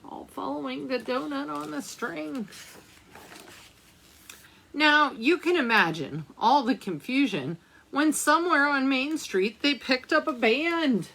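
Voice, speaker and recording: A woman's voice: high-pitched, slow pace, creaky, pushed and bright. A woman reading a picture book aloud for children's storytime, speaking to young listeners as she goes. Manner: addresses young listeners with questions and asides. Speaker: librarian storytime reader.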